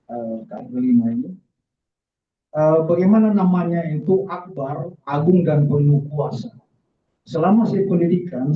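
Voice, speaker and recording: A man gives an emphatic church testimony in short, halting phrases, speaking as a guest before a congregation. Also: on the high side. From southern Philippines, mixed Indonesian family. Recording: distant, roomy, dull lo-fi sound.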